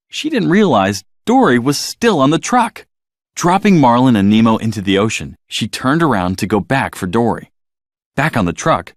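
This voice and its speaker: A man reading a scripted children's story as narrator, in an expressive, dramatic storytelling manner. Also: mid-range voice, no echo, clean studio sound.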